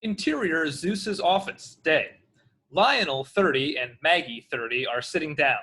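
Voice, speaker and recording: A man narrates screen directions from a script. His voice is bright, fairly high and moves animatedly up and down. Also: expressive, a little slow.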